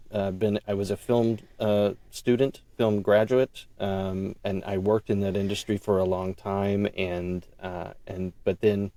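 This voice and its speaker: A man being interviewed as a podcast guest, telling his own story casually and haltingly, thinking aloud and quoting his own thoughts. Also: lowish voice, warm tone, no echo. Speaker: audio drama creator and writer.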